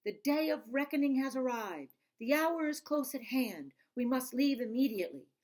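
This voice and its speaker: A woman's voice: high-pitched, expressive, moderate pace, a little mellow, voice pushed up. A woman voicing a stern, dramatic elderly grandmother who speaks in solemn, grand pronouncements in a storybook read-aloud. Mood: urgent, commanding. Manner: solemn, portentous.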